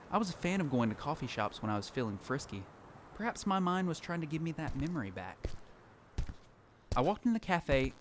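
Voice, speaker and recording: A man's voice: fairly high pitch, natural voice. Troubled male survivor acted by a man, noisy backdrop. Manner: introspective, storytelling. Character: troubled lone male survivor narrating his uneasy experiences. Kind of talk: first-person audio drama narration. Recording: clearly noisy background ambience.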